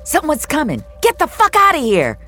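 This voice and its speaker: A woman playing a blunt, sweary, emotional young gamer in an audio drama, with slangy, expressive delivery. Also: sits high, moderate pace, soft background music, pitched above the performer's own voice, exaggerated voice. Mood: alarmed.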